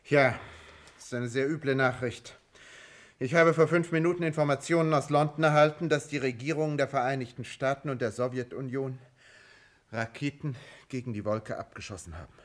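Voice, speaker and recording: An actor playing a blunt, excitable government man among scientists, with formal, emphatic radio drama diction. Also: mid-range voice, a little fast, lightly acted. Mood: urgent, alarmed. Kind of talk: 1950s German radio drama acting.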